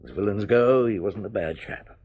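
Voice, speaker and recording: A middle-aged actor playing a patient police chief in a radio drama, questioning a witness in a calm, coaxing way. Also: male voice, fairly low pitch, moderate pace, a little hiss or hum, lightly acted.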